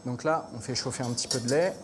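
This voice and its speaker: A man's voice: mid-range voice, quick pace. A man from Normandy talks through a recipe like a chef at work. His delivery is casual and a little hesitant as he explains each step. There is some noise around him in the kitchen. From Normandy, France. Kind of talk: cooking demonstration with casual chat.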